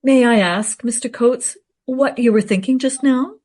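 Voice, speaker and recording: An actress playing a polite, flattering lady interviewer in a Regency radio play, speaking with formal courtesy. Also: fairly high pitch, unhurried. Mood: polite curiosity.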